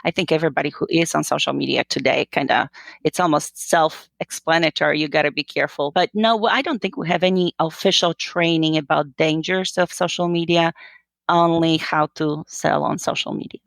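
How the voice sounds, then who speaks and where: A woman's voice: sits low, steady tone, fairly quick, vocal fry, no echo. A woman in her fifties from Poland, a guest telling her story in a casual interview, chatty, with many fillers and restarts.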